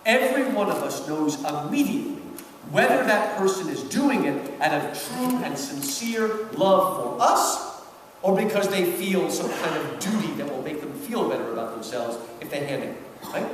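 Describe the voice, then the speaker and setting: A man's voice: high-pitched, lively intonation, normal speed. A man giving a live lecture with literary commentary, speaking emphatically and rhetorically and often checking in with his listeners. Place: large reverberant hall at a public event. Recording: echoey hall from far off, noisy.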